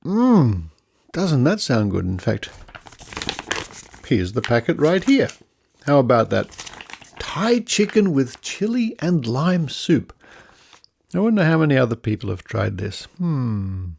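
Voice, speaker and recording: A man's voice: mid-range voice, big pitch swings, takes it slowly, creaky, pauses often, darkish tone, slight background noise. An Australian man hosting a podcast with a chatty, playful and slightly theatrical delivery. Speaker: podcast host.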